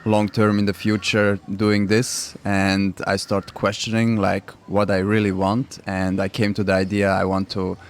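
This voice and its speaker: Lower-voiced man, non-native English speaker. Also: a little slow, few pauses. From Switzerland, Croatian family. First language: not English. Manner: relaxed, laughs often, simple non-native phrasing. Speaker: guest cyclist. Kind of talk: radio interview.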